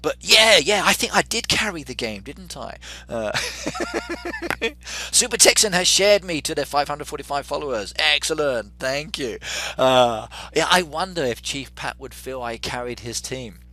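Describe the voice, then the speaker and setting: A man's voice: fairly high pitch, very animated, unhurried, pushed and bright. A middle-aged man with an English accent chatting through a live game stream and reading out viewer comments. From England.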